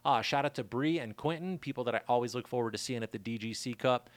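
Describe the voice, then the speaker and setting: A man hosting his podcast in a loose, rambling monologue, his voice raspy from a worn throat. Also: mid-range voice, quick pace, no room sound. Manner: laid-back, loose, chatty with shout-outs. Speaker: podcast host. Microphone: studio mic.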